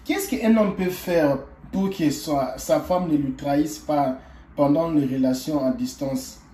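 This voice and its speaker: A man hosting a talk show who speaks calmly and reassuringly, often hesitating. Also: a little high, a little slow, roomy sound. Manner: calm, reassuring, hesitant with fillers. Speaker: host. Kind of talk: radio talk show host.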